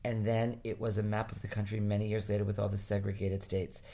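Very deep-voiced blunt New woman. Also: fast talker. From Rhode Island, US. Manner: blunt, animated, swears freely. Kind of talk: casual political chat.

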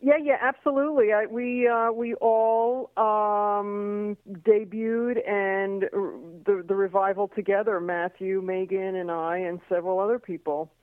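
A woman's voice: mid-range voice, fairly even intonation, unhurried, no echo. A woman from Queens, New York, whose first language is Slovak, chatting as a guest in an interview, talking freely with many fillers and restarts. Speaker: singer and Broadway performer, guest. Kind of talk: casual interview chat. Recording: tinny phone line.

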